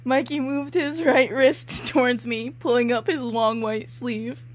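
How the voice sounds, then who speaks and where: Wry, giggly woman with a highish voice. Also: steady tone, measured pace. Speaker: co-host and reader. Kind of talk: casual read-aloud of amateur fiction with joking asides.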